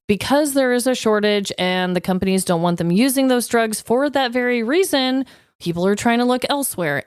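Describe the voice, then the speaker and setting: A woman with an American accent co-hosting a podcast, chatting casually in an expressive, exclamatory way. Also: medium pitch, dry recording, studio quality. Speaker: podcast co-host. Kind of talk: casual banter and trivia chat.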